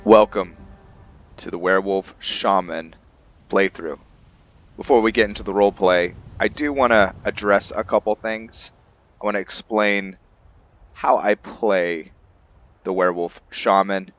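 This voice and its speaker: A man presenting a fantasy roleplay game playthrough as its host and narrator, speaking in an unhurried way. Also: low voice, fairly bright tone, muffled recording. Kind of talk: dramatic story narration, then informal commentary.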